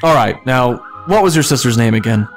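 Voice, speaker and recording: Offhand male ogre for comic fanfic, read by a performer; gruff, deadpan, medium pitch, music underneath. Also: fairly quick, close to an everyday voice. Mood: offhand, grumbling. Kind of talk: dramatic reading of comic fan fiction.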